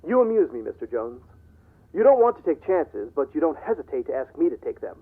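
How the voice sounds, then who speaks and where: An actor playing a wary, wryly amused millionaire with a secret, in theatrical old radio serial style. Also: male voice, a little high, sing-song, brisk, husky. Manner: suspicious, theatrical. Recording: tinny old wire recording playback.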